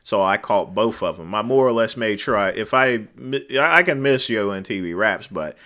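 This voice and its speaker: A man hosting a casual livestream, talking to viewers in a loud, carrying voice, rambling with many fillers and letting phrase ends drop off quietly. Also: on the low side, expressive, moderate pace. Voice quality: naturally loud, cutting voice. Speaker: livestream host. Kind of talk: casual chat reading viewer comments. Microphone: desk microphone.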